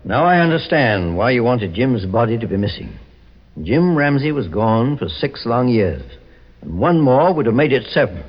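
A shrewd private detective from 1940s radio. He is authoritative and accusing, with animated intonation and a husky edge, in a reverberant old recording. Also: mid-range voice, muffled recording. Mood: triumphant. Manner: authoritative, deliberate explaining.

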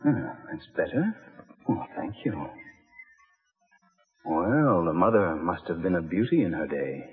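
Tinny vintage radio detective, low-voiced. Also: male voice, animated, a little slow. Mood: watchful. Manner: dry, sardonic, hard-boiled. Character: wry, shrewd hard-boiled male investigator, coolly needling. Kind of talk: 1940s-50s radio drama acting with first-person narration. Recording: thin tinny vintage radio recording, music behind.

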